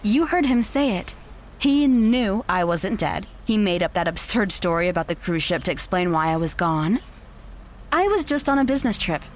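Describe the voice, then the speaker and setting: A woman's voice: mid-range voice, expressive, moderate pace, slight background noise, lightly acted. An actress playing a cold, manipulative young woman who talks down to her rival in an audio drama. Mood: condescending. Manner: condescending, dismissive.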